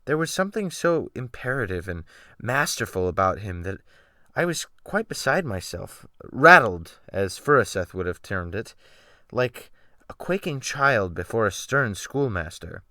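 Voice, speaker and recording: A man giving a theatrical, expressive dramatic reading of literary narration as an audiobook narrator. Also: fairly low pitch, unhurried, no echo, studio quality.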